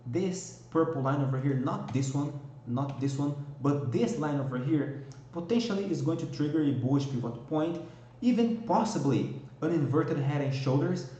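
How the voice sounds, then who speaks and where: A man's voice: fairly high pitch, warm tone, very echoey, lo-fi sound. A man from Brazil with a Brazilian Portuguese accent, walking viewers through a stock market technical analysis and often checking in with "okay?". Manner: explanatory, frequent "okay?" and "all right?" checks.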